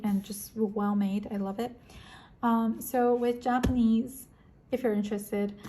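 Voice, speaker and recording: A young woman with a mellow, relaxed tone talks through her planners in an easy, rambling way, filling pauses with ums. Her first languages are Korean and Chinese. A faint room hum sits under her voice. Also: fairly high pitch. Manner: relaxed and chatty, many ums and restarts. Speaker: planner vlogger. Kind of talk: casual planner flip-through chat. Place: indoors at a wooden table. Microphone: overhead camera on a tabletop.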